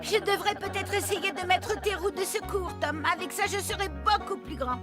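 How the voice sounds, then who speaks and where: A woman's voice: very high voice, normal speed, music in the background. A woman voicing a small, insecure, childlike male vehicle in a children's cartoon, with a plaintive manner. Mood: downhearted. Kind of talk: French children's cartoon dub.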